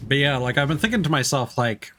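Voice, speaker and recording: A man's voice: medium pitch, expressive, quick pace, pushed and bright, slight background noise. A man doing casual, rambling let's play commentary as a game streamer, chatty and easygoing.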